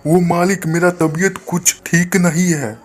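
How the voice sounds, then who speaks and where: Casting: man playing a humble, downhearted street-food seller, fairly high voice, plaintive, for a cartoon tale. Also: steady tone, a little slow, soft background music. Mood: pleading. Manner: meek, plaintive. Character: poor, humble, dejected male villager and samosa seller.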